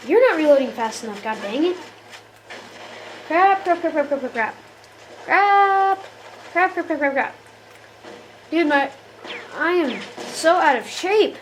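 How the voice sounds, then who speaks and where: A woman's voice: high-pitched, expressive, unhurried, long pauses, a little hiss or hum, poor audio. A woman giving casual, jokey commentary while playing a shooter game, often exasperated and talking to the characters on screen. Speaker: gamer.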